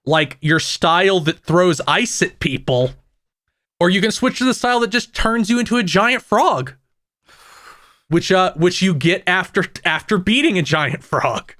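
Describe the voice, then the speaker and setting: A man co-hosting a casual gaming podcast, talking in a rambling way with frequent restarts and quick asides. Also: sits high, lively intonation, a bit bright, dry recording, studio quality. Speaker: podcast co-host.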